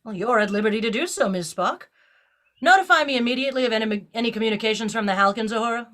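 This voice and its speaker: Woman acting high-voiced, animated, commanding starship captain. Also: fast, slight background noise. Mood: brisk, guarded. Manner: crisp, imperious, clipped orders. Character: commanding female starship captain, authoritative, guarded. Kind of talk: audio drama acting.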